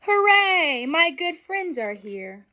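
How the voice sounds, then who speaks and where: A woman's voice: very high voice, big pitch swings, slow pace, bright, forceful tone. A young adult woman reading a picture book aloud expressively and putting questions to children in an instructional way. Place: small furnished room at home, indoors.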